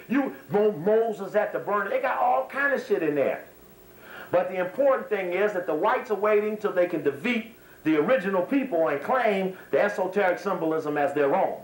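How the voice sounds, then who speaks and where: A man giving an extemporaneous lecture to a live audience, speaking in an emphatic, repetitive, digressive manner. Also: sits high. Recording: echoey room, slightly distant microphone.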